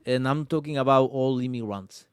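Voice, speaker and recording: A man from Cuba with a Cuban Spanish accent, a podcast guest talking earnestly in halting English aboard a sailboat, often pausing to search for words. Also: medium pitch, clear, resonant tone, no echo, studio quality. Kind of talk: casual conversation.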